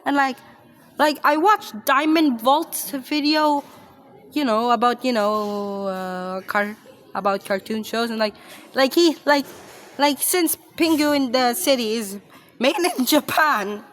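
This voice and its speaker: A woman's voice: high voice, takes it slowly, fairly bright tone, a little hiss or hum. A woman giving casual reaction commentary, rambling and often repeating herself. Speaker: reaction video host.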